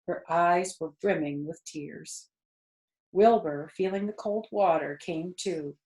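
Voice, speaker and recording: A woman's voice: fairly low pitch, slow, darkish tone. A woman reading a children's story aloud expressively, with conversational asides to her listeners. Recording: roomy echo.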